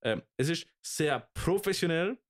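A man in his twenties with a Swiss German accent, co-hosting a casual podcast and often pausing on fillers and restarts. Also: fairly high pitch, animated. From Switzerland. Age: 20s. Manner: hesitant, lots of fillers and restarts. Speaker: podcast co-host. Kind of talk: casual chat.

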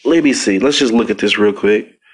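A man with a somewhat low voice and a stuffy, congested nose hosts a casual podcast. He rambles in an offhand way, restarting sentences and leaning on fillers as he talks things through. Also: brisk, husky. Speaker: podcast host. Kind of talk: casual wrestling review podcast.

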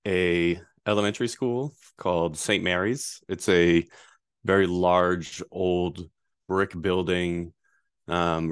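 A man in his thirties from Rhode Island, a guest answering interview questions in a relaxed, rambling way with frequent fillers. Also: low-pitched, smooth, no echo, clean studio sound. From Rhode Island, USA. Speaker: guest, privacy attorney.

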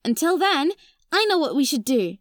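It's a woman playing a caring, inquisitive young girl in a children's audio drama. The voice is very high and animated, with a take-charge manner. It is recorded dry, with no room sound. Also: moderate pace, dry recording. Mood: concerned, reassuring.

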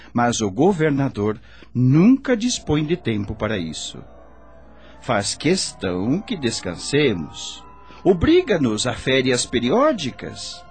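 A benevolent spirit guide in a radio drama, with a Brazilian accent. He teaches with solemn devotion, slowly and with a richly varied melody. Faint music runs behind him. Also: medium pitch. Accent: Brazilian Portuguese. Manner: solemn, measured explaining. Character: kindly male spirit guide, earnest, reverent veteran helper. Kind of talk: Brazilian radio drama acting, didactic and declamatory.

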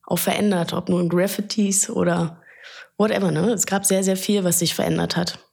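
A woman's voice: lowish voice, steady tone, a little fast, gravelly, darkish tone, husky. Chatty woman in her thirties. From Berlin, Germany. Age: 30s. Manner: chatty, earnest, many fillers. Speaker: photographer and guest. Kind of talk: casual interview talk.

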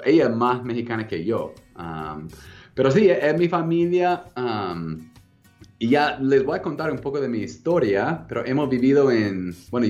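A man in his thirties with an American English accent, speaking Spanish as a second language as a guest in a casual interview, relaxed and hesitant, with frequent ums and restarts. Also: medium pitch, lo-fi sound. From United States. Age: 30s. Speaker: guest entrepreneur.

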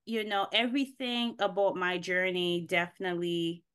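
A woman's voice: lowish voice, steady tone, moderate pace, a bit bright, clean tone. A woman who grew up in Haiti, answering as a podcast guest over a video call, thoughtful and searching, with many ums. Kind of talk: casual interview answers.

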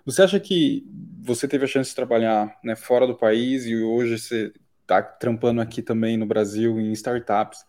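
A man's voice: medium pitch, normal speed, a little mellow. A man with a Brazilian Portuguese accent, interviewing casually with slangy, informal questions.

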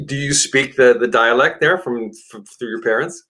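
An older man chats as the host of an informal interview. His pitch is average for a man, and he talks in a loose, conversational way, doubling back on words as he forms his questions. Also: normal speed, pushed and bright. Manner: chatty, repeats words as he goes.

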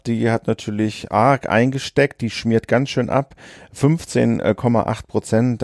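Tiefe, knarzige Männerstimme, trocken aufgenommen. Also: male voice, lowish voice, gravelly, no echo, clean studio sound. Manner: relaxed, conversational, frequent fillers. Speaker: podcast co-host, journalist. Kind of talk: casual political podcast talk.

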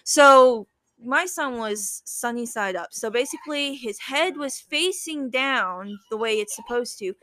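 A woman's voice: on the high side, animated, unhurried, a bit bright, no echo. A young adult woman telling a personal story as a guest, in a chatty, casual way with lots of "like" and quoted dialogue.

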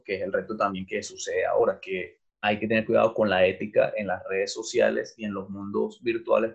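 A fairly low-pitched middle-aged man from Venezuela, speaking as an interviewed teacher in an easygoing, thinking-aloud way. He often pauses on fillers and restarts his sentences. The recording sounds dull and lo-fi. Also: creaky. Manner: thoughtful, many fillers and restarts. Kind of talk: casual interview.

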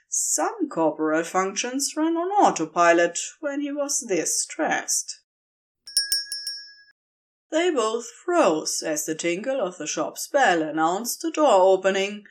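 Female fiction reader, slow and deliberate, with dramatic, animated swings in delivery. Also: medium pitch. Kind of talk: expressive scripted story narration.

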